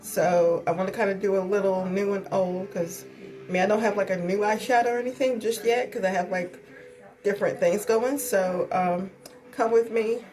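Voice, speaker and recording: A woman's voice: medium pitch, fairly even intonation, moderate pace, a little mellow, faint music behind. Stuffy-voiced woman with a sore throat. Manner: chatty, many ums and likes. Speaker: beauty vlogger. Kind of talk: casual makeup tutorial.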